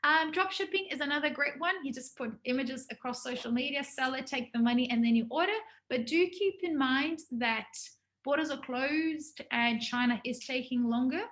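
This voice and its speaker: A woman's voice: high-pitched, normal speed, fairly bright tone. A woman giving an informal business workshop to students, talking in a conversational, enthusiastic way.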